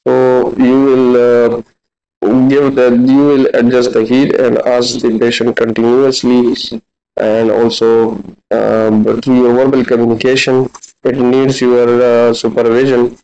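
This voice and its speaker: A man in his thirties giving a halting, instructional practical demonstration as a physiotherapy teacher. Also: medium pitch, little pitch movement, warm tone, smooth. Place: large indoor classroom clinic room. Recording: dull, lo-fi camera audio.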